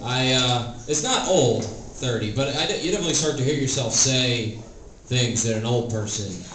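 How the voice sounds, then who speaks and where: A thirty-year-old man performing stand-up in a comedy club, his delivery dry and halting, padded with "uh" and "like". He is recorded from some distance, so the sound is roomy, and audience laughter and noise are heard clearly behind him. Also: mid-range voice, lively intonation, fairly bright tone. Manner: dry, hesitant delivery with many "uh" and "like". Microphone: stage microphone.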